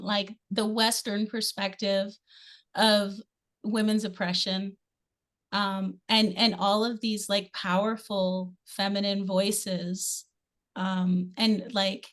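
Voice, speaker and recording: A hoarse woman taking part in an online discussion, speaking hesitantly with many fillers. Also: mid-range voice, fairly bright tone. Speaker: participant. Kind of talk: discussion question.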